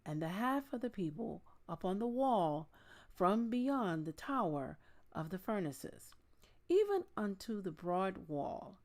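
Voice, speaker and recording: A woman hosting a Bible reading, speaking in a measured, deliberate manner as she reads aloud and talks informally to listeners. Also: medium pitch, very animated, a little mellow. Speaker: host of a daily Bible reading. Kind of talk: reading scripture aloud with casual asides.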